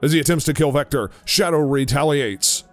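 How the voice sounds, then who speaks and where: A man's voice: on the high side, brisk, soft background music. A man reading scripted narration for an audio drama.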